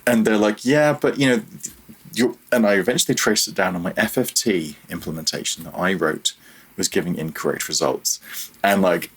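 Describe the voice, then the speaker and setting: Deep male voice, husky edge, audio programmer guest, casual interview, self-correcting. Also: fairly quick, a bit bright. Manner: thinking aloud, self-correcting, hesitant mid-phrase.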